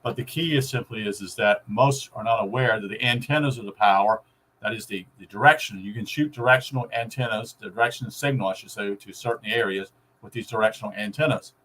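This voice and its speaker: A man giving a casual, rambling explanation to viewers in a halting, folksy way. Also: lowish voice, fairly bright tone, low-quality recording. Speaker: vlogger explaining radio.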